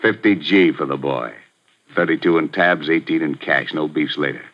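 An actor playing a ruthless middle-aged gambling boss, talking tough street slang in a slow, menacing drawl, in 1940s radio acting. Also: male voice, rumbling low. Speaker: gambling house owner. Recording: muffled 1940s radio broadcast.

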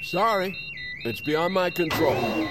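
A man's voice: a little high, very animated, takes it slowly, heavy background noise. An actor playing an anxious, wry man on the run in an audio drama, talking in a nervy, informal way. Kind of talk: audio fiction acting. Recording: loud dramatic music bed. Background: music and vehicle sounds.

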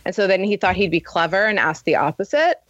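A woman's voice: fairly low pitch, big pitch swings, fast talker, lo-fi sound. A woman speaking as a writer and editor guest on an interview show, in a casual conversational style. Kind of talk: casual interview chat with article reading.

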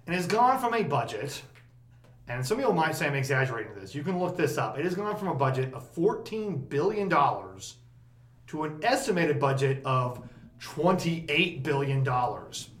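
A man hosting a podcast about energy policy, talking casually with a loud, projecting voice and plenty of emphasis. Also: on the high side, sing-song, normal speed. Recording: roomy echo, faint background noise.

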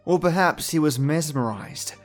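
Man, Australian accent, slightly high voice, relaxed reflective podcast chat, soft music underneath. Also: moderate pace. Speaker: podcast host. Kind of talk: casual reflective commentary. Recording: faint music behind voice.

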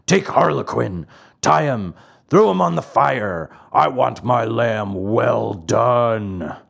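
Man playing a theatrical gruff puppet master. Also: medium pitch, big pitch swings, takes it slowly. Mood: stern. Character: huge ugly bearded puppet master, gruff but soft-hearted.

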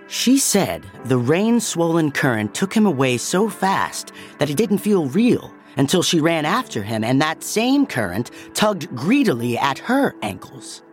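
An actor playing a storyteller who narrates a detective case file deliberately, with dramatic pauses, in an audio drama. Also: male voice, fairly high pitch. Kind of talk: scripted case-report narration.

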